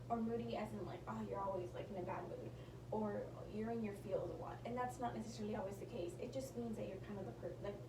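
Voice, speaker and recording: A woman's voice: fairly high pitch, roomy sound, far from the mic, background noise. A young woman giving a casual tarot reading, sounding nasal and tired from a sinus infection, rambling and correcting herself, sometimes dropping into a whisper. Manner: rambling, self-correcting, apologetic, drops into whispers. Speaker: tarot reader.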